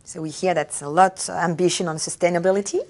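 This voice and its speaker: A woman's voice: on the low side, fairly even intonation, quick pace, a little mellow. A woman interviewing guests with short, welcoming prompts.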